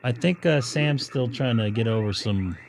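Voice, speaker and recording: A man's voice: mid-range voice, animated, normal speed, gravelly, background noise. A man hosting a ham radio live stream, chatting casually and poking fun at himself. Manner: self-deprecating, rambling. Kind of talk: casual on-air chat.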